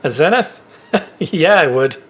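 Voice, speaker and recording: An actor playing a friendly, easygoing corporate receptionist in a naturalistic audio drama. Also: male voice, a little high, animated, measured pace, a bit bright. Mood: easygoing, curious.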